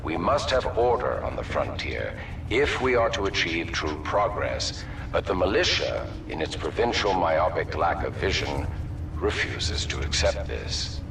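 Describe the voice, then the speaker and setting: An actor playing a cold, ruthless military leader in a video game, delivering a measured, pompous speech with emphatic pauses. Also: male voice, low-pitched, very animated, vocal fry, noisy background, full character voice. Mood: grave, emphatic. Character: cold, ruthless male military-corporate leader, grandiose villain. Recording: echoey hall, distant mic, music behind.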